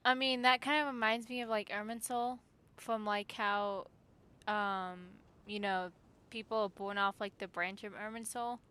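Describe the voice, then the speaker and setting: A woman's voice: mid-range voice, fairly even intonation, unhurried, pushed and bright, clean tone. A woman giving casual livestream commentary as a game streamer, chatting in an informal, rambling way.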